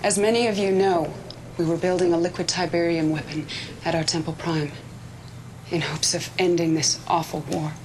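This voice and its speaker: An actress playing a stern, zealous military commander in a video game cutscene, speaking with grave urgency. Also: female voice, on the low side, steady tone, moderate pace, darkish tone, slight background noise, lightly acted. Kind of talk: dramatic game cutscene acting.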